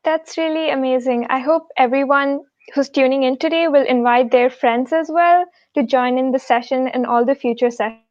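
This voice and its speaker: Female host, high voice, friendly and unhurried; dry, dull call audio. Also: darkish tone, no room sound. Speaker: host of an online tech session. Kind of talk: live-stream introduction.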